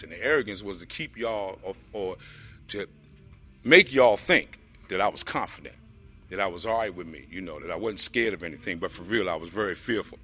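A man with a deep voice telling his personal recovery story to a group, rambling with lots of fillers. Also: a bit bright, husky. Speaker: speaker sharing a recovery story.

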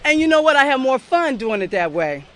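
A woman's voice: high voice, sing-song, fairly quick, fairly bright tone. A young woman from the southern United States giving a heartfelt, earnest personal testimony at a recovery meeting, speaking straight to the crowd. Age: young adult.

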